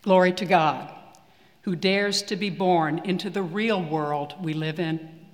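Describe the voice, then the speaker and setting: A man preaching a sermon in a church, measured and earnest with deliberate pauses. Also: high voice. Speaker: priest. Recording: long reverb of a church hall.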